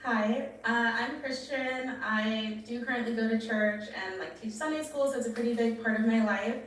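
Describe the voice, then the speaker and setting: Female contestant, twenties, informal, slightly high voice, picked up from afar with faint noise, very reverberant. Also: moderate pace. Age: 20s. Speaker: dating show contestant. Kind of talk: casual, unscripted answer. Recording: echoey, distant, faint noise.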